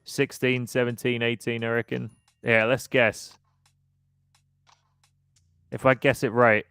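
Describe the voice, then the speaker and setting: Easygoing man in his thirties, smooth voice. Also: mid-range voice, flat delivery, slow pace, fairly bright tone, no room sound, studio quality. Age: 30s. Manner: easygoing, laughs often, reads viewer comments aloud. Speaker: podcast host. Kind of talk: casual livestream chat.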